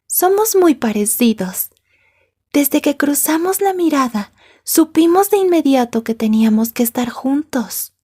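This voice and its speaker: A woman playing a possessive, jealous yandere schoolgirl in an ASMR roleplay, soft and breathy, taunting and slipping into whispers. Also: high-pitched, expressive, measured pace. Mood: condescending, smug.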